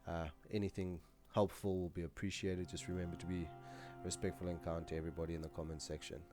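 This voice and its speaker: A man's voice: deep voice, quick pace, music in the background. A man with a nasal, stuffed-up voice, casually talking to viewers to close out a gaming video. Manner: relaxed, chatty, loose with fillers. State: stuffed-up, under the weather. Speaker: gaming channel host.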